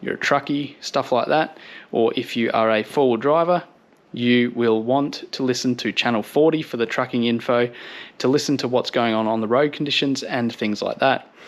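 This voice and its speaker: An Australian man talks viewers through a product in a casual review style. His voice sits at a medium pitch. He sounds upbeat and approving as he explains things in everyday language. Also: normal speed.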